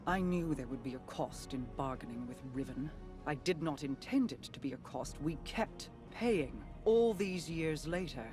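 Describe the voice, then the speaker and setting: Stern, regal low-voiced warrior queen. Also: lively intonation, music in the background. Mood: grave, resolute. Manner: measured, formal, commanding. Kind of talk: video game character acting.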